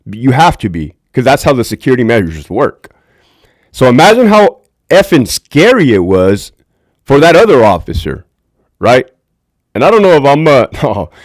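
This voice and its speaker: A man with a deep voice hosting a candid livestream on a desk mic. His pitch swings widely as he talks, and the sound is dry and close. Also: big pitch swings, moderate pace, dry recording. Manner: candid, profane, talks to chat viewers. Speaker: livestream host, former prison officer. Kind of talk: casual livestream commentary.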